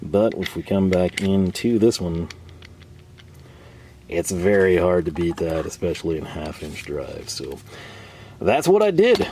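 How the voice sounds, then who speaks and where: A man hosting a tool video in his workshop, chatting casually and rambling with fillers, his voice a bit hoarse from a cold. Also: sits low, big pitch swings, measured pace, pauses often, warm tone, slight background noise. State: slightly hoarse, losing his voice. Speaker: tool channel host. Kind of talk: casual show-and-tell talk. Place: home workshop.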